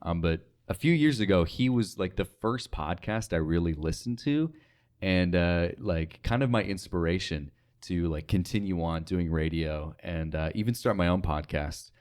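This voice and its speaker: A man's voice: low-pitched, animated, moderate pace, darkish tone. A young man talking casually as an interview guest, informal and rambling, often saying "like". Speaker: podcast guest, radio sales associate.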